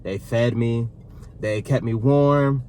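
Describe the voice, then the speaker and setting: A man in his thirties co-hosting a casual sit-down Q&A with his partner, talking in a relaxed, rambling way. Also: mid-range voice, slow, slight background noise. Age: 30s. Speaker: vlog co-host.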